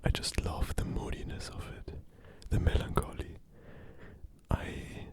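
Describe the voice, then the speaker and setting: Male ASMR creator, German accent, hushed whisper throughout, unhurried gentle delivery with pauses. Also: extremely high pitch. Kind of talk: ASMR, whispered casual monologue.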